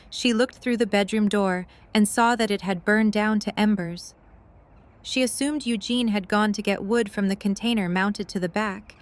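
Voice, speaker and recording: Woman narrator reading a story for an audio drama, medium pitch, scripted delivery.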